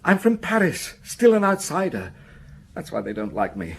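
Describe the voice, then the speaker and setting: An actor playing an anxious, defensive village schoolmaster in a radio drama, earnest and hesitant, stumbling over repeated words. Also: male voice, a little high, wildly swooping pitch, normal speed, vocal fry, slight background noise, heavily acted. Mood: anxious, pleading.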